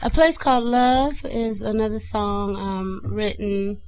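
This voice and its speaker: A woman's voice: medium pitch, slow, smooth, poor audio. A woman from Michigan, a singer guesting on a radio show, telling stories casually and acting out quoted voices. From Flint, Michigan. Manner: animated storyteller, acts out quoted dialogue. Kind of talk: casual interview chat.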